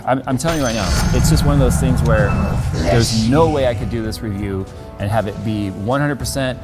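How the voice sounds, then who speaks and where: A man's voice: mid-range voice, fairly quick, loud music behind the voice. A man talking casually and candidly to his viewers as a tech YouTuber, a bit exasperated.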